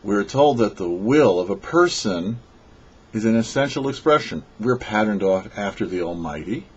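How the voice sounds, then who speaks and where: A man delivering a scripted religious teaching as a rabbi, in a measured, emphatic way with rhetorical questions. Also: fairly low pitch, expressive, husky. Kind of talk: scripted sermon read for a radio show. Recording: dull, lo-fi audio.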